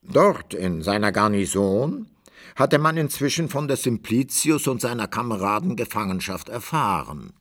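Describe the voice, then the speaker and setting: A man as the narrator of a radio drama, telling an old adventure tale in a measured literary way with deliberate pauses. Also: on the low side, big pitch swings, fairly bright tone.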